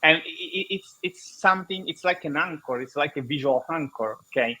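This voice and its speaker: A man from Italy with an Italian accent, a guest explaining ideas in halting English with many restarts, over a video call. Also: on the high side, pushed and bright, poor audio. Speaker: guest speaker. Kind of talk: online conference interview.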